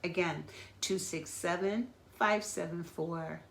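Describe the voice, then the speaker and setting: Therapist lady on a live feed, slow n calm, bit stuffy from asthma. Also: fairly low pitch, gravelly, slight background noise. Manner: gentle, reassuring, guides breathing exercises. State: slightly congested from asthma. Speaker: therapist and host of a live talk. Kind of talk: slow, caring live-stream talk. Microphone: phone or webcam live feed.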